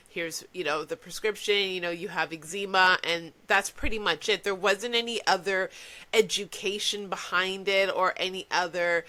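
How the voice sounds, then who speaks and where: A woman's voice: lowish voice, sharp tone. A woman hosting a podcast, upbeat and affirming, gushing over her guest. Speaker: podcast host. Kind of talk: casual interview.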